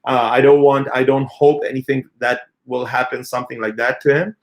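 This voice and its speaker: A man in his thirties, a company CEO, giving a halting, earnest unscripted answer full of filler sounds. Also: medium pitch, level tone. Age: 30s. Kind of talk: unscripted spoken answer in an AMA.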